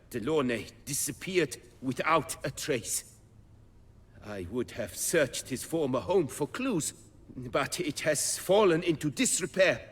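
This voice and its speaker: An actor playing a proud, wistful master armorer in a video game, telling tales in a formal, measured manner. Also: male voice, medium pitch, a bit bright, husky, heavily acted. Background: music.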